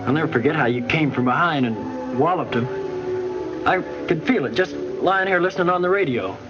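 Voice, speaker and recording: A young man playing a gracious, brave bedridden high school athlete in a 1950s educational film, speaking with boyish sincerity. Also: animated, a little fast, lightly acted. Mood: grateful, wistful. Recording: muffled old film soundtrack with music.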